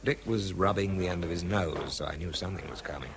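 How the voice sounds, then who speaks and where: A man's voice: low voice, quick pace, background noise. An actor playing a weary, sardonic English wartime man in a British radio drama, dry and understated as he narrates and talks. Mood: wry, sardonic.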